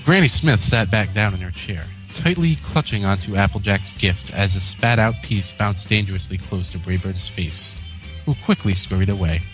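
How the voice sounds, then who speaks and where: A man narrates comic fan fiction as a co-host. His pitch sits on the low side, with faint music in the background. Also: normal speed, a bit bright, poor audio. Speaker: co-host and story reader.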